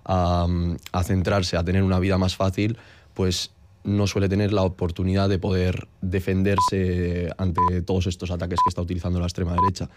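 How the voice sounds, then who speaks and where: Man from the basque country, radio guest, very deep voice, monotone, earnest and halting. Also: a little hiss or hum. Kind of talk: radio interview.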